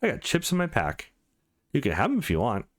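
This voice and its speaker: A man's voice: mid-range voice, huge pitch swings, fairly quick, a bit bright, dry recording, clean studio sound. A man voicing a blunt, grumbling young schoolgirl in a visual novel, plain-spoken and complaining. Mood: blunt, caring.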